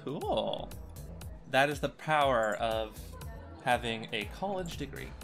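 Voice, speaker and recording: A young man chats as a podcast co-host with a very animated, swooping pitch. He is playful and teasing, quick to mock himself, and music runs underneath his voice. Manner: playful, teasing, self-mocking. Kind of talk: casual joking chat. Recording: music behind the voice. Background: background music.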